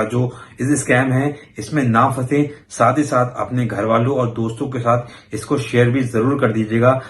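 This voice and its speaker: Man, mid-pitched voice, informal explainer, conversational, English words mixed in, video host. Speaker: host of a banking awareness video channel. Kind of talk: informal explainer addressed to viewers.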